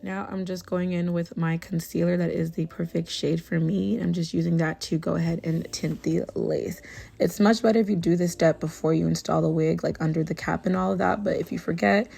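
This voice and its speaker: A young woman with a hoarse, raspy voice from tonsillitis, talking through a hair tutorial in a casual, chatty way with lots of "you know.". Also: fairly low pitch, a little fast. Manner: chatty, relaxed, full of fillers like "you know". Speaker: beauty vlogger.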